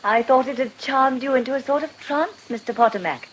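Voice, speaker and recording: An actress playing a genteel, gently teasing lady in a radio drama, refined and playful. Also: sits high, brisk, slight background noise, lightly acted, low-quality recording. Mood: teasing, fond.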